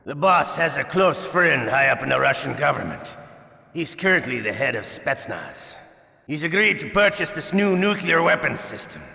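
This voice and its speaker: A sadistic older torturer from a video game, sharp and forceful with a gravelly rasp. He draws his words out in menacing, theatrical taunts. The voice rings in a big echoing hall. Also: on the high side, big pitch swings, normal speed, exaggerated voice. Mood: fervent, proud. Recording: echoey hall with long reverb.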